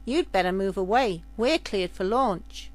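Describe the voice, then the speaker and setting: A woman's voice: medium pitch, expressive, a little slow, close to an everyday voice. A woman narrator takes the part of a tall, skinny man from a travelling space circus. He is brusque and matter-of-fact, voiced in a storybook adventure manner. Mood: dismissive.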